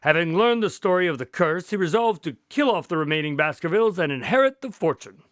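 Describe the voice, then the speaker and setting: Radio drama doctor male actor: measured, courteous, higher-pitched, dry close sound, formal storytelling. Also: animated, fairly bright tone, dry recording. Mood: composed recounting. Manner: formal, precise phrasing.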